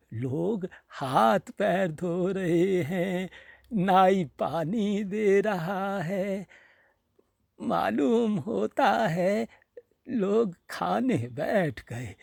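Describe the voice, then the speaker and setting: A man's voice: sits high, creaky, a little mellow, dry recording, clean studio sound, voice pushed up, exaggerated voice. A man voicing a frail old village woman, hungry and brooding, muttering plaintively to herself in a storybook reading.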